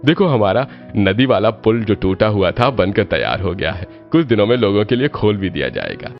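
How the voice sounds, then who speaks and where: A man's voice: on the low side, sing-song, normal speed, muffled recording, faint music behind. A man playing a grateful middle-aged village father in a cartoon story.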